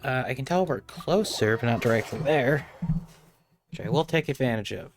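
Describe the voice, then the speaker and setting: A man's voice: medium pitch, expressive, moderate pace. Casual male streamer over game music.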